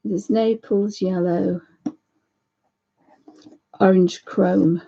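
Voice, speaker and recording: Woman with a croaky dark voice painting live, chatting away, bit dull sounding. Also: medium pitch, fairly even intonation, extremely slow. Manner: chatty, thinks aloud while painting, greets viewers. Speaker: art channel host. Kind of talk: live painting demo chat.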